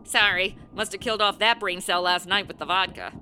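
A woman's voice: mid-range voice, fairly even intonation, brisk, sharp tone, soft background music, lightly acted. A woman acting a sardonic, irritable, hard-drinking publicist in a comic radio play, with slangy, sarcastic delivery. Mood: flippant.

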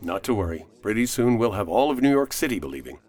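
An actor playing a confident, friendly defense attorney in a courtroom radio play, courteous and persuasive. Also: male voice, fairly low pitch, big pitch swings, fast, a little mellow. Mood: reassuring.

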